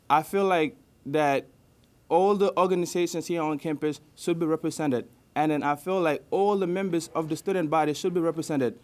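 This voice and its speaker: Earnest young man with a higher voice. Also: a little mellow. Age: young adult. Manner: earnest, hesitant with fillers. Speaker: student election candidate. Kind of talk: campaign speech.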